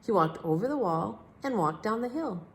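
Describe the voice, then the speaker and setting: A woman reading a children's story aloud as a storyteller, with expressive, measured phrasing. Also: mid-range voice.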